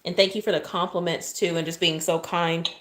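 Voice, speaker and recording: Southern woman, soft calm lower voice, chatty livestream cake decorator at a kitchen counter. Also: fairly quick, a little hiss or hum. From Oklahoma, USA. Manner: chatty, thanks viewers often, asides while working. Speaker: cake decorator live-streaming. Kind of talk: casual livestream chat while piping icing.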